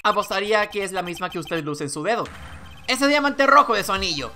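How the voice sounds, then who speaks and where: A woman's voice: mid-range voice, lively intonation, brisk, bright, forceful tone, faint music behind. A woman voicing an earnest, confrontational young male defense attorney from a video game, declaiming and accusing.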